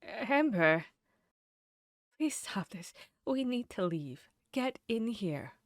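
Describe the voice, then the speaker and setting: A woman's voice: mid-range voice, sing-song, slow, dry recording, studio quality. A woman playing a protective, caring vampire hunter in an intimate fantasy audio roleplay, speaking directly to the listener. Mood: desperate, distraught. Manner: intimate, addressing the listener directly, shifting between hushed and urgent.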